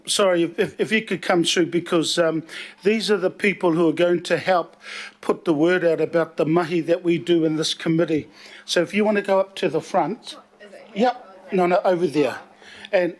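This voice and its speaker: Hesitant New Zealand man chairing a meeting. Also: fairly high pitch. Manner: hesitant, many filler pauses; opens with a Māori prayer. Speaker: committee chair.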